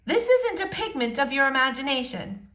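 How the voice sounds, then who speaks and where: A woman's voice: high voice, very animated, moderate pace, bright, forceful tone, echoey room, voice pushed up, heavily acted. A woman voicing a proper, wry and encouraging woman teacher in a storybook reading, with formal phrasing. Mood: bright, polite.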